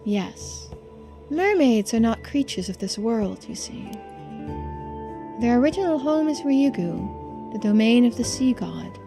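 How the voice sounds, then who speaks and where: A woman voicing a genteel, composed and secretive housewife in a video game, speaking politely, formally and with quiet persuasion. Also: a little high, sing-song, a little slow, over music. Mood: mysterious. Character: genteel, composed, secretive housewife who knows occult lore. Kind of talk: video game dialogue read aloud.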